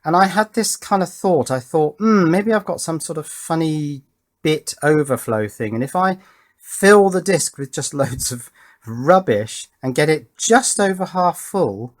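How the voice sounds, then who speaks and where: A middle-aged man, talking casually and thoughtfully as he hosts an electronics tutorial, rambling a little in a matter-of-fact way. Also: a little high. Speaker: electronics hobbyist video host. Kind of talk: casual chatty tutorial narration with reminiscence.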